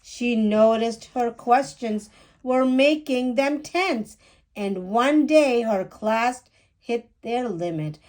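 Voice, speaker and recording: A woman reading a rhyming children's picture book aloud with deliberate, animated phrasing. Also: on the high side.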